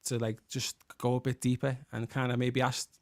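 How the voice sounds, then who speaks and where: A man's voice: medium pitch, little pitch movement, moderate pace, a little mellow, no room sound, clean studio sound. A man with a Scouse accent, a guest musician and worship leader, talking earnestly and reflectively in a casual chat, leaning on fillers like "like". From England.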